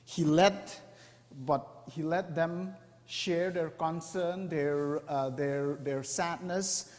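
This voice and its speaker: A man, speaking in a slightly raised register. He explains his points earnestly and often pauses to find the next word. His voice carries a long reverb through a church hall. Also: on the high side, steady tone. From India. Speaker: mission speaker. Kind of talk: church presentation talk.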